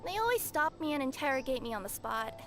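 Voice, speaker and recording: Very high, bubbly cat girl. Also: sing-song, quick pace. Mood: cheerful. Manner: bubbly, cute, chatty. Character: perky, friendly young female cat-creature courier. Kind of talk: scripted anime-style video game dialogue. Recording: game music behind the voice.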